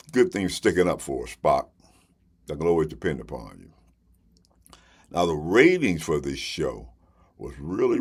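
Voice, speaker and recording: A man's voice: rumbling low, husky. A man hosting a casual, unscripted review to camera, talking in a conversational way and repeating phrases for emphasis. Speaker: host reviewing shows and collectible box sets.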